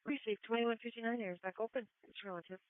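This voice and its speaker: A woman's voice: a little high, fairly even intonation, fast, narrow, tinny audio. A woman working as a police dispatcher, speaking in clipped, procedural radio calls over a scanner feed. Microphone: two-way radio dispatch mic. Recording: thin band-limited police scanner radio.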